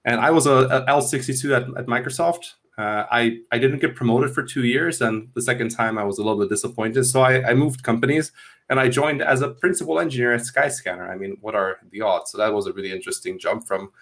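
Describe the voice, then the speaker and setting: A man's voice: mid-range voice, fairly even intonation, fast talker, a bit bright. A man in his thirties, originally from Hungary, talking through his career as a guest on a video call, rambling and restarting his sentences. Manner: rambling, repeats words at starts. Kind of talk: casual interview talk. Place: small room at home. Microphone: earphones on video call.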